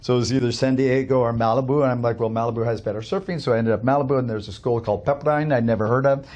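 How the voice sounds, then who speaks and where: A man's voice: medium pitch, fairly even intonation, racing, a little mellow. A middle-aged man from Canada telling his life story as a guest in a casual chat, upbeat and rambling with many fillers. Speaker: guest, cafe owner.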